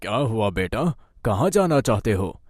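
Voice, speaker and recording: A man voicing a mysterious, fatherly rickshaw driver in a cartoon, calm and reassuring. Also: lowish voice, moderate pace, heavy background noise. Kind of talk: Hindi moral-story cartoon dub.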